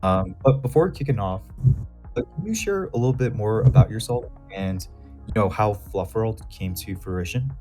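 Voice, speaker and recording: A man's voice: fairly low pitch, animated, moderate pace, a little mellow, faint music behind. A man hosting an interview on a voice call, upbeat and chatty, with hesitant fillers. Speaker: host.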